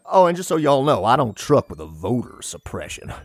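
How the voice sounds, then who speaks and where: A brash, rowdy Texan gambler who whoops and drawls his way through every line. His pitch leaps all over the place. The recording is dry and close. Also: very animated, fairly quick, dry recording. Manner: folksy drawl, whooping yeehaws. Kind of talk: comedy audio drama.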